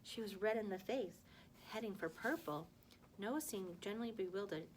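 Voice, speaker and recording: A woman's voice: medium pitch, moderate pace, a little hiss or hum, rough recording. A woman reading a storybook aloud like a teacher to a class, expressively, with stumbles and self-corrections. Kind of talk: storybook read-aloud with acted dialogue.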